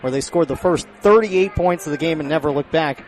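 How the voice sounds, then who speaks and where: A man's voice: on the high side, a little fast, faint music behind. A man doing live play-by-play commentary of a football game as a radio announcer, close on the mic at an outdoor stadium. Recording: clear outdoor stadium background noise.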